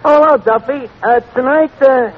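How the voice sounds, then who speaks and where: An actor playing a brash, lovesick tavern manager with a New York accent who mangles fancy words, in 1940s radio comedy style. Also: male voice, extremely high pitch, normal speed, slightly rough. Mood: chatty, smitten. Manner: malapropisms, self-important, slangy. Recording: noisy, muffled 1940s radio broadcast.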